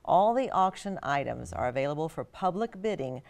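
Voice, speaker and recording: A woman's voice: low-pitched, expressive, normal speed, gravelly, darkish tone. A middle-aged American woman reading scripted TV news narration as an anchor and reporter.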